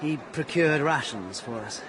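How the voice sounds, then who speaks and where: An actor playing an earnest, proud young Russian officer in a radio drama, speaking with hesitant fervour. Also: male voice, a little high, expressive, moderate pace, close to an everyday voice. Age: young adult. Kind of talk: radio drama acting with inner monologue. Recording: bustling outdoor crowd noise behind.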